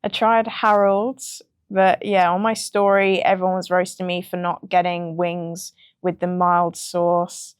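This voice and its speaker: A woman's voice: on the low side, measured pace, few pauses, smooth, no echo, clean studio sound. A young British woman, a music producer, chatting casually in an interview with plenty of ums. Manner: relaxed, chatty, frequent ums and laughs.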